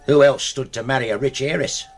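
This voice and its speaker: An actor with a British accent playing a deferential, plain-spoken servant and loyal old soldier's man in a radio drama, coolly owning up to dark deeds. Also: medium pitch, steady tone, fairly quick, gravelly, faint music behind, natural voice. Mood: matter-of-fact. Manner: deferential, says "sir" constantly, plain-spoken.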